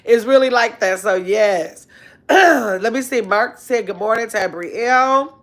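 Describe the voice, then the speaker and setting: A woman in her fifties hosts a casual livestream from her bedroom, talking into a phone held close at arm's length. She reads viewer comments aloud and answers them, with animated exclamations and long, drawn-out words. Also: on the high side. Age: 50s. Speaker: livestream host. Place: bedroom at home, indoors.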